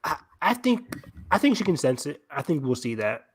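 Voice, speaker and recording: A man co-hosting a fan podcast in casual conversation, with an animated, jokey manner. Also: a little high, moderate pace, husky. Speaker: podcast co-host.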